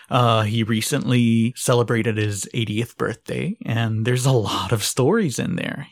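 A man in his forties from Israel, hosting a podcast and speaking earnestly, his pitch jumping up on key words. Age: 40s. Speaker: podcast host. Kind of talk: podcast introduction.

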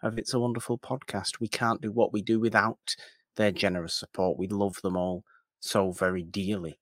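Low-voiced man co-hosting a podcast. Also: level tone, moderate pace, a bit bright, no echo, studio quality. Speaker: podcast co-host. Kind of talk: casual film discussion.

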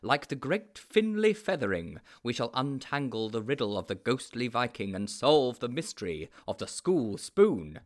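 A man's voice: medium pitch, very animated, normal speed, few pauses, close to an everyday voice. A man voicing a self-important, adventurous would-be detective in a storybook, explaining things in a pompous, emphatic way. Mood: grandly excited. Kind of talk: storybook character voice.

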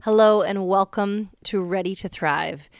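A woman in her thirties hosting a faith-based podcast, hoarse and raspy from a cold, talking in an earnest, reflective way with loose pauses and fillers. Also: medium pitch. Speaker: podcast host.

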